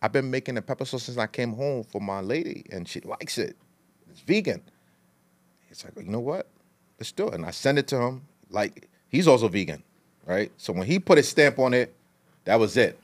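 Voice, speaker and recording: A man's voice: medium pitch, lively intonation, normal speed. A middle-aged man from Liberia, telling his story as a podcast guest in a casual, long-winded way with street slang. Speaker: guest, food entrepreneur.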